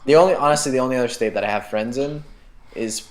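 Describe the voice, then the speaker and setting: A man's voice: medium pitch, quick pace. A young man from South Africa co-hosting a podcast, rambling and restarting his sentences, with casual swearing. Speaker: podcast co-host. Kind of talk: casual chat.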